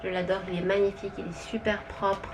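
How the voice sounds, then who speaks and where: A young woman with a Quebec French accent, chatting gently through a casual haul vlog at home, thinking aloud as she goes. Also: medium pitch, little pitch movement, fairly quick, background noise. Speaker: vlogger and stay-at-home mother. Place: at home with her baby asleep nearby.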